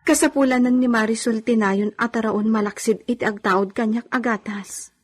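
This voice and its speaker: An actress playing an anxious, pleading hacienda wife in a radio drama, with an earnest, plaintive delivery. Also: female voice, a little high, steady tone, normal speed, husky. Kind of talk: Ilocano radio drama acting.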